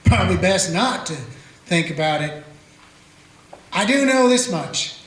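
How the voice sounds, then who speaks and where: A man's voice: high-pitched, lively intonation, measured pace, a bit bright. A man voicing a weary small-town sheriff who narrates and questions a prisoner with folksy, dry humor. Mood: musing. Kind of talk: radio play narration and acting. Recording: echoey room with faint music.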